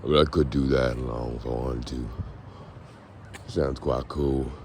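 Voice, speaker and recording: A young man with a raspy, hoarse voice, rambling to the camera as he walks outdoors in a casual vlog. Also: rumbling low, steady tone, measured pace, a little mellow. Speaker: vlogger. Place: outdoors, walking on a foggy street. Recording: noisy outdoor recording with passing traffic.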